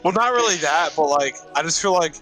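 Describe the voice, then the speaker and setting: A man's voice: a little high, lively intonation, fairly quick, a bit bright, faint music behind. A man playing a tabletop game, describing his moves in a casual, slangy, rambling way.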